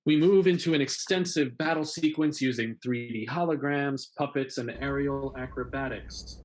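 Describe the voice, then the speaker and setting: A man's voice: mid-range voice, moderate pace, rough recording. A man who co-hosts a show, bantering and reading through a script in a conversational style. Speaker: show creator and co-host.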